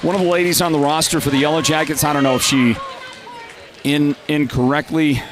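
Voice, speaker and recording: A man with a nasal, congested voice from a lingering cold, calling a live high school basketball game in a chatty way and raising his voice on big plays. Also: fairly even intonation, a little fast. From Northern Arizona. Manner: chatty, rises to loud calls on big plays. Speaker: play-by-play announcer.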